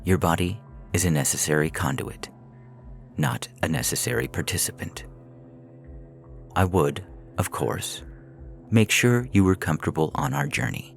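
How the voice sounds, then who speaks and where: A man reading audio fiction as a calculating artificial intelligence, coolly persuasive and menacing, speaking in a measured, clinical, formal way. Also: sits low, gravelly, faint music behind. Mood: calm menace. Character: calculating prison AI, four years old, coolly persuasive, menacing.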